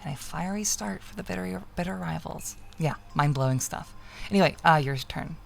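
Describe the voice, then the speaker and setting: Woman acting a creaky, dry, tired young woman. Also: low voice, moderate pace, exaggerated voice. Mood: weary, sardonic. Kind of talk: casual phone conversation in visual novel dialogue.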